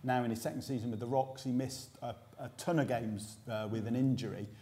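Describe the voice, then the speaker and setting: A man in his forties with a British accent, hosting a post-match basketball analysis in a measured, explanatory way, seated in a small indoor room. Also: mid-range voice, fairly even intonation, warm tone. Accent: British English. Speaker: host. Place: small indoor room before a sponsor backdrop.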